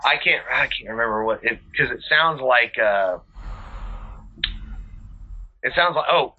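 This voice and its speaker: A man co-hosting a casual podcast, often halting and searching for his words, and swearing casually. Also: medium pitch, animated, highs cut off. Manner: halting, searching for words, many fillers and restarts. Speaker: podcast co-host. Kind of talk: casual chat.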